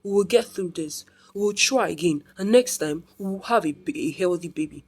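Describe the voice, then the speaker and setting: A woman voicing a gentle, grieving husband in a folktale, tender and consoling. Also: lowish voice, brisk, slightly rough. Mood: tender comfort. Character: gentle, comforting husband, a grieving adult man.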